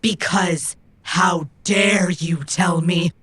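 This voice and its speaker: A woman's voice: lowish voice, fairly even intonation, very slow, fairly bright tone, a little hiss or hum. A woman playing a proud, stubborn female robot who speaks in formal, precise, stilted phrases in an audio drama. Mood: fierce, defiant.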